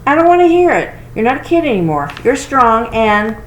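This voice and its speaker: A woman's voice: a little high, sing-song, fairly quick, voice pushed up. Stern old granddad acted by a woman, tough, scolding, emphatic, storybook character, echoey room with noise. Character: stern, grumbling grandfather, tough and impatient with softness. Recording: roomy echo with clear noise.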